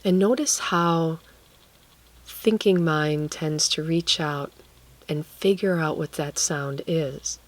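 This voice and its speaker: A woman guiding a relaxation meditation, speaking gently and leaving long pauses between phrases. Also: sits low. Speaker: guided meditation teacher.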